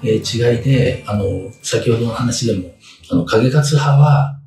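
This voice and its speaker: A man in his sixties explaining as a guest expert in an informal interview, in halting fragments full of fillers. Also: medium pitch, warm tone. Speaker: guest expert on samurai history. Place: small indoor room. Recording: roomy, distant mic, background guitar music. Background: guitar music.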